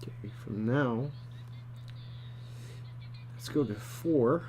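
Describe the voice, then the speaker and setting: A man thinks aloud through an informal drawing lesson in a medium-pitched voice. He talks haltingly, often repeating a phrase before moving on. A faint layer of noise and hum sits behind him. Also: mellow. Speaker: storyboard artist teaching. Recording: clearly noisy background with hum.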